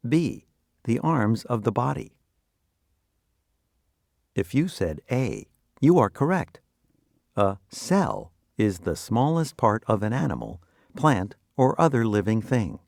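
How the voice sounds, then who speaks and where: A man presenting scripted educational quiz questions and answers with deliberate, instructional phrasing. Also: fairly low pitch, big pitch swings, vocal fry, a little mellow, dry recording, clean studio sound.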